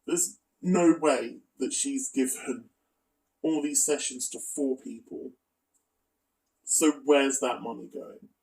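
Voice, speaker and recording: A man's voice: medium pitch, takes it slowly, gravelly, darkish tone, far from the mic, rough recording. A man narrating a scripted exposé as a video commentator, with asides and a critical, accusatory manner.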